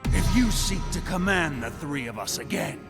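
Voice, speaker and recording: A man's voice: fairly high pitch, big pitch swings, normal speed, creaky. An actor playing a proud, boastful male summoned spirit with a theatrical, old-fashioned way of speaking. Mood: haughty. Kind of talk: video game voice acting. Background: game music.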